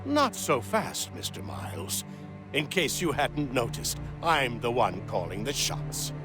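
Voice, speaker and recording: Commanding villain, wildly swooping delivery. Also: male voice, mid-range voice, wildly swooping pitch, over music. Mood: menacing, smug. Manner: taunting, deliberate, imperious. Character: smug, commanding male villain holding the upper hand. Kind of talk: dramatic video game acting.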